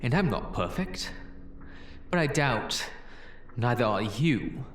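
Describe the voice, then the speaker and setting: A man playing a guilt-ridden, defiant time-travelling doctor in an audio drama, earnest and halting in delivery. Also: mid-range voice, very animated, a bit bright, reverberant, soft background music. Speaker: lead actor in fan audio drama. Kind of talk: dramatic scripted fan audio acting.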